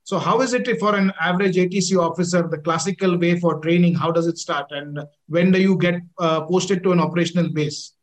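A man's voice: high voice, fairly even intonation, fairly quick, hardly any pauses. A middle-aged man with an Indian accent hosting a conversational interview in an effusive, wordy manner. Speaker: interview host, former air force officer.

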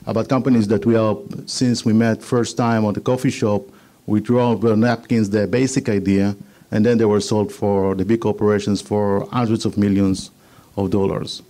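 A middle-aged man from Israel with an Israeli accent, giving a business presentation on patents in measured, slightly halting English. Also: fairly low pitch, level tone, dark tone.

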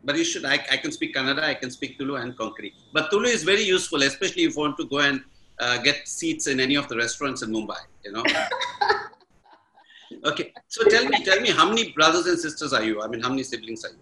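A man's voice: mid-range voice, lively intonation, fast, bright, forceful tone, echoey room, slight background noise, low-quality recording. A man hosting an interview, friendly and curious, laughing along and phrasing things loosely. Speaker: interview host. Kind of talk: casual interview chat.

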